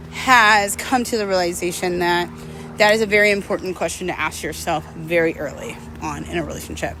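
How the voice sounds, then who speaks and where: A woman's voice: mid-range voice, fairly bright tone, poor audio. A woman in her thirties talking casually and candidly, reflecting in a confessional way as she walks outdoors. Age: 30s. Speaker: vlogger. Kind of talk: casual confessional chat while walking. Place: outdoors, walking. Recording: clear outdoor urban traffic noise.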